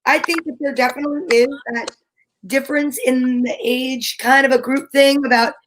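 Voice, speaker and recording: A woman's voice: high-pitched, fairly even intonation, moderate pace, a bit bright, a little hiss or hum, low-quality recording. A woman co-host in casual, rambling, joking conversation on a livestream. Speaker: show co-host. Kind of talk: casual chat.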